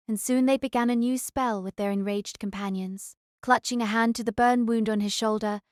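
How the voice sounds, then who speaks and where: A woman's voice: on the high side, no echo, studio quality. A woman narrator reading a scripted story aloud as an audiobook.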